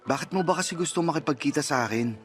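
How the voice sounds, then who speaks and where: A man's voice: a little high, fast talker, a bit bright, soft background music, close to an everyday voice. An actor playing an uneasy, exasperated man of about thirty in a Filipino radio drama, hesitant and protesting. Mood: uneasy, defensive.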